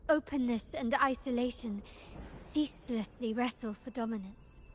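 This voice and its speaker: A woman playing a poised, cultured and enigmatic young lady in a video game, speaking formally and with measured eloquence. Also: on the high side, darkish tone, a little hiss or hum, close to an everyday voice. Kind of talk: scripted video game dialogue.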